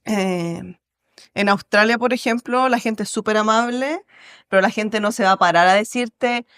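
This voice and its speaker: A woman with a Chilean accent, a podcast guest telling stories of moving abroad in a lively, laughing way. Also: mid-range voice, moderate pace, clear, resonant tone. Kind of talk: casual storytelling interview.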